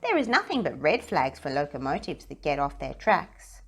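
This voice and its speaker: A woman voicing a young male locomotive in a storybook, eager, playful and quick to get cross, with childlike exclamations. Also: sits low, wildly swooping pitch, husky, heavily acted. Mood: relieved, happy.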